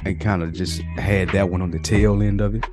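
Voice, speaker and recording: A man's voice: low voice, fairly even intonation, fairly quick. A man co-hosting a podcast in casual, slangy conversation, often repeating phrases for emphasis. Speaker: podcast co-host. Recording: music behind the voice. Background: music.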